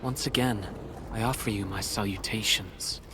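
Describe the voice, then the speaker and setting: An actor playing a courtly, enigmatic video game villain who professes devotion, with formal, theatrical phrasing. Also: male voice, on the low side, fairly even intonation, moderate pace, noisy background, close to an everyday voice. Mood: courtly, cryptic. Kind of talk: video game story voice acting. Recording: dramatic music behind the voice. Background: game music.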